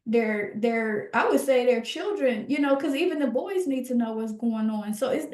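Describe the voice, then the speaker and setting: High-voiced woman podcast host, roomy echo. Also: animated, darkish tone. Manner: emphatic, halting, repeats words for emphasis. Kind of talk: reflective conversational discussion.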